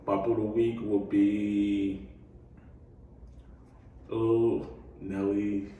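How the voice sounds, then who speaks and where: A man on a podcast, answering casually in a slow, hesitant way. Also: lowish voice, flat delivery, mellow, lo-fi sound. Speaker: podcast guest. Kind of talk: casual chat. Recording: echoey room from far off, faint noise.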